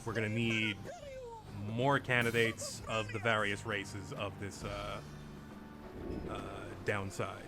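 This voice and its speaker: A man's voice: fairly low pitch, level tone, slow, over music. A man giving a casual recap of a video game as a podcast host.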